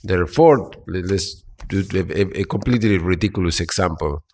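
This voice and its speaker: A man's voice: low voice, fairly quick, a little mellow, a little hiss or hum. A man from Argentina, speaking as a panelist with many fillers and restarts. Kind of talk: panel talk. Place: conference hall.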